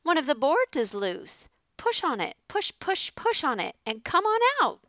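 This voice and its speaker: A woman puts on a quick, chattering female goose who says everything twice. Her voice sits high and leaps about in pitch. The sound is dry and close. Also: very animated, fairly bright tone, dry recording, exaggerated voice. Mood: cheerful. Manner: repeats words, quick and cheery.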